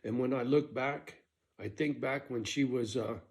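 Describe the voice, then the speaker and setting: A middle-aged man with a voice of ordinary pitch tells a story from his own life in a loose, conversational way. He is blunt and swears freely. He keeps checking in with the listener. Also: a little slow. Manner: blunt, profane, conversational with frequent "right?". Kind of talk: casual personal storytelling.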